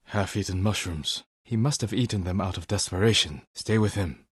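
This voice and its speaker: An actor playing a stoic, honorable samurai warrior in a video game, speaking in a measured, formal and grave way. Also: male voice, sits low, husky, dry recording, clean studio sound. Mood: measured, resolute. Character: stoic, honorable samurai warrior, adult man, solemn and protective. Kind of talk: dramatic video game acting.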